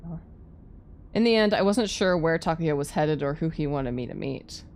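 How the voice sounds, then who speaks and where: A woman's voice: low-pitched, expressive, moderate pace, darkish tone, slight background noise. A woman streaming a game, reading story text aloud and dropping in casual, sweary asides. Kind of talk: let's play, reading visual novel text aloud with casual commentary. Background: background music.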